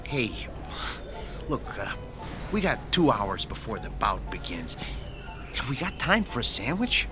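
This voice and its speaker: A man's voice: mid-range voice, very animated, measured pace, long pauses, music in the background. An actor playing a hungry, grumbling male mechanic sidekick with a whiny, joking way of talking in a radio drama. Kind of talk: 1960s-style radio drama acting.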